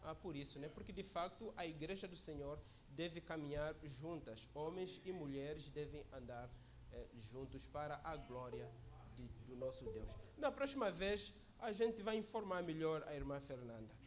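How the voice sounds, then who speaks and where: A man's voice: a little high, expressive, moderate pace, a little hiss or hum. A man who is a guest preacher at a church service, speaking in a chatty, joking way that often asks "né?" and calls for amens. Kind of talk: informal church sermon.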